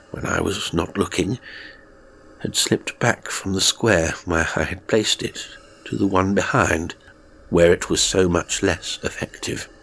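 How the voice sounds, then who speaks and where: A man narrating a horror story in a measured, suspenseful and theatrical way. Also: low voice, fairly bright tone. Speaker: audiobook narrator.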